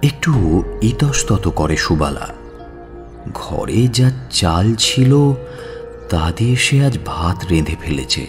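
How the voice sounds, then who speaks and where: A man's voice: deep voice, very animated, takes it slowly, pauses often, music in the background. A man narrating a scripted audio story in a dramatic storytelling style.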